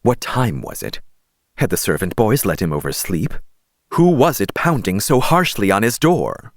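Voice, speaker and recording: A man narrating an audiobook as scripted dramatic storytelling, measured and expressive. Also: fairly low pitch, dry recording, studio quality.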